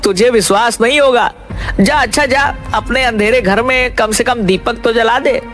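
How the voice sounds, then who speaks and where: An actor voicing a poor, simple village man in an animated folk tale, folksy and pleading. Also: mid-range voice, normal speed, over music, natural voice. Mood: flustered. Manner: pleading, folksy, repeats a pet oath. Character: poor, timid, simple male village woodcutter.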